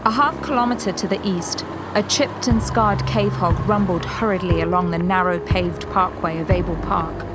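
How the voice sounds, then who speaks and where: A woman's voice: lowish voice, normal speed, very noisy. A woman narrating a sci-fi audio drama in a scripted storytelling style. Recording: narration over very loud music.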